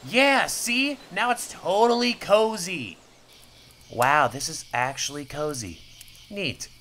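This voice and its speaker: Bright, lively, goofy reptile camper played by a man. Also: fairly high pitch, slow pace, slight background noise, full character voice. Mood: cheerfully defensive. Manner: playful, carefree, excitable. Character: laid-back goofy young male reptile camper. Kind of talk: comic dub character acting.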